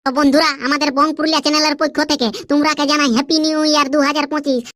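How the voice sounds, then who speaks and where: A woman's voice: high voice, flat delivery, very fast, fairly bright tone, slight background noise. A woman greeting her channel's viewers and voicing village friends in a comic cartoon, talking in chatty, quarrelsome banter.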